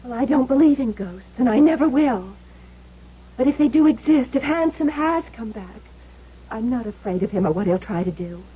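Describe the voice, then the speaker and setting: High, husky, earnest sweetheart. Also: female voice, expressive, normal speed. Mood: earnest, emotional. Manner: emotional, earnest, emphatic. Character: devoted, earnest woman, gambler's sweetheart, once abused. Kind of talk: old-time radio drama acting. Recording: tinny, narrow-band 1970s radio recording.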